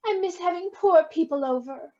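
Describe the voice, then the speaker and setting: A woman's voice: extremely high pitch, moderate pace, dark tone. A woman acting in a stage farce as the plaintive, theatrical child of a scheming rich family, on a dull lo-fi recording. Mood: pleading. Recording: dull lo-fi sound.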